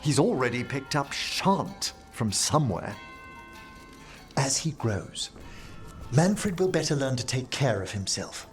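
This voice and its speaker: An actor playing a gentle, formal older necromancer and mentor in a video game. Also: male voice, medium pitch, sing-song, slow pace. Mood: fond, proud. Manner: formal, precise, tender. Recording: music behind the voice.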